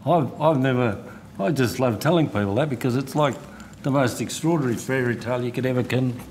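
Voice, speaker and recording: An Australian man questioning officials as a senator in a hearing, with a blunt, halting, sardonic manner. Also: mid-range voice, a little mellow, a little hiss or hum. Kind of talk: parliamentary questioning. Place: committee hearing room.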